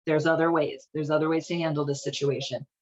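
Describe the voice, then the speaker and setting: A woman giving casual, irreverent commentary as a host, with a sardonic, halting, colloquial delivery. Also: low voice, little pitch movement, a little mellow, lo-fi sound. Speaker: host of a video series on leaving religion.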